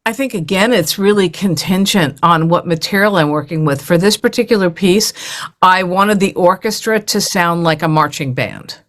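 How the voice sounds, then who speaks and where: A woman with a slightly low voice talks as a composer in a panel conversation. She thinks aloud in loose, halting phrases, circling toward her point. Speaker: composer being interviewed.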